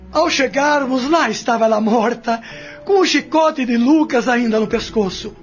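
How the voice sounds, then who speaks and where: Man acting as a high-pitched, weeping, desperate defendant. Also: muffled recording. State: crying. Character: distraught young wastrel confessing in court, weeping, desperate. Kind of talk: audio drama acting.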